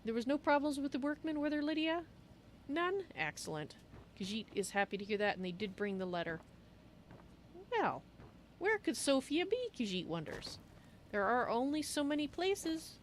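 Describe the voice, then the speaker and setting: A woman's voice: high-pitched, big pitch swings, moderate pace, slight background noise, rough recording. A woman playfully musing aloud as she narrates her video game roleplay in character.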